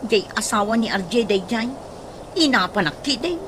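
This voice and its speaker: A woman's voice: a little high, lively intonation, normal speed, natural voice. A woman with an Ilocano accent playing a plaintive young woman in a radio drama, on a noisy recording. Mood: hurt.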